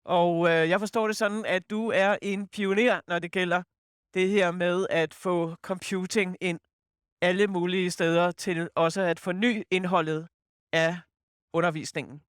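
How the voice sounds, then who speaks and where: Smooth-voiced conference moderator. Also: mid-range voice, fairly even intonation, moderate pace, no echo, clean studio sound. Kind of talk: introducing a speaker.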